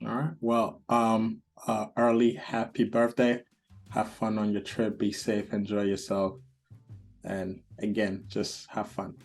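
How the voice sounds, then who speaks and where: A man's voice: lowish voice, monotone, a little slow, soft background music. A man hosting a podcast as a therapist, talking in an encouraging, easygoing way. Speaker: therapist host.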